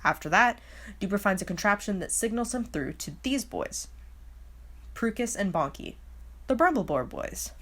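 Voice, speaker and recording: A woman's voice: mid-range voice, expressive, moderate pace, fairly bright tone. A woman narrating a casual scripted recap as a video host, with a wry, openly baffled manner.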